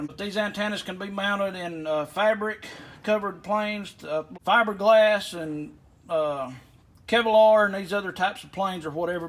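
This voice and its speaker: A man giving a casual hands-on walkthrough in a folksy, rambling way. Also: sits high. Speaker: aviation vlogger. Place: inside a small plane at an airport.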